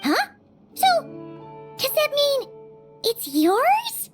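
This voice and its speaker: A woman voicing a small, childlike, cheerful fairy companion in a video game, with a squeaky, perky, chatty delivery. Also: huge pitch swings, extremely slow, heavily acted. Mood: amazed. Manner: perky, refers to self in third person. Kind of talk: video game character dialogue. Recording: music behind the voice.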